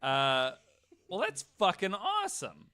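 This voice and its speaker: A man's voice: high-pitched, wildly swooping pitch, slow, bright, forceful tone. A man hosting a podcast in casual banter, joking irreverently and swearing freely. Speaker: podcast host.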